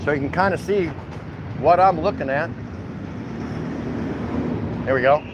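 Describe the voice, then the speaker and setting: Higher-pitched American man, folksy vlogger. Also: unhurried, background noise. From Milwaukee, United States. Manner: folksy, colloquial, jokey. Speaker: walking vlog host. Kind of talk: casual livestream chat to viewers. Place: outdoors at a city food truck.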